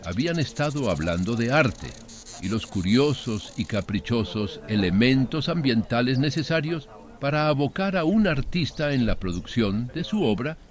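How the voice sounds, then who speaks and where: A man narrating a literary horror tale in a measured, dramatic audiobook style. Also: medium pitch, animated. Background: background music.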